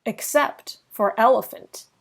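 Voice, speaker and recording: A woman reading a children's picture book aloud as narrator, deliberately, with pauses between short phrases. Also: a little high, gravelly. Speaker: storybook reader.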